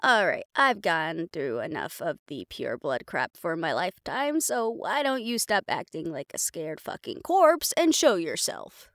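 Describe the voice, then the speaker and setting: A woman's voice: fairly low pitch, big pitch swings, a little slow, few pauses, a bit bright, no echo, clean studio sound, full character voice. A woman playing a tough, stubborn female hunter with a wry, defiant, casual manner in an audio roleplay.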